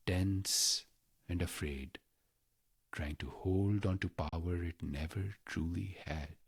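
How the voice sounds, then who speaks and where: A man guiding a meditation in a measured, reverent manner, pausing deliberately between short phrases. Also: low voice, fairly bright tone, slightly rough, no room sound, studio quality. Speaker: meditation guide. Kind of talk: guided meditation narration.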